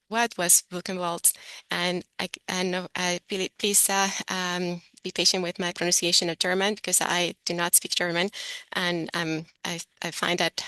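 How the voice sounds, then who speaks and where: A woman walks listeners through her slides in a careful, explanatory way, often correcting herself as she goes. Her voice sits low and bright, with little rise and fall. The sound is dry and close. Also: no echo. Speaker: presenter. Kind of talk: online presentation with slides.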